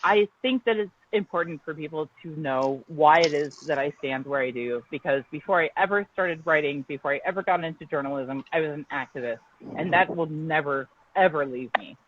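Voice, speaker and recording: A woman's voice: low-pitched, fairly quick, dull audio, slight background noise. A woman journalist guest on an online show, outspoken and emphatic.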